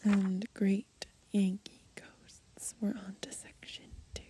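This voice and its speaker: A woman softly murmuring ASMR commentary as she browses a book, breathy and gentle, outdoors. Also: mid-range voice, little pitch movement, takes it slowly, a little hiss or hum. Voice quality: breathy, whispery. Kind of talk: ASMR, soft murmured commentary and title reading. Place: outdoors on grass.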